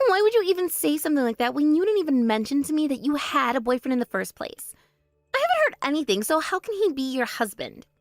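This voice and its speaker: A bratty younger sister who brags and sneers, her high voice leaping all over the place, as a woman acts her out. The recording is dry and close, with no room sound. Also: sing-song, fast talker, no room sound, faint music behind. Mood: indignant. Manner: mocking, spiteful bragging. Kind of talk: voice drama, one performer.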